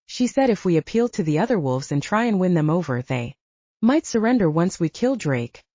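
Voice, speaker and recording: Audiobook role: earnest young werewolf hero read by a woman, wry and pleading, slightly low, mellow. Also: sing-song, normal speed, no echo, dull audio. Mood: resigned.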